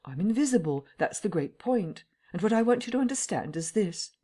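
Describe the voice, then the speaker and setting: A woman reading an audiobook voices an impatient, commanding invisible man who speaks in a quiet, clipped, insistent way. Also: medium pitch, lively intonation, brisk, breathy edge, pitched above the performer's own voice. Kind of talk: audiobook character voice.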